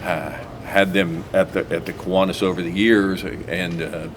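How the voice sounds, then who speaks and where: Deep-voiced host with a rambling manner. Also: male voice, little pitch movement, moderate pace. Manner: rambling, with many fillers and restarts. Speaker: talk show host. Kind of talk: casual local TV interview. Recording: noisy recording.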